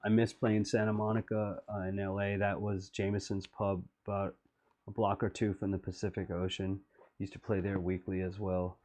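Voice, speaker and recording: A middle-aged man talking through his own music video, slow and wistful. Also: flat delivery, darkish tone. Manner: low-voiced, slow and wistful. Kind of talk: reflective commentary.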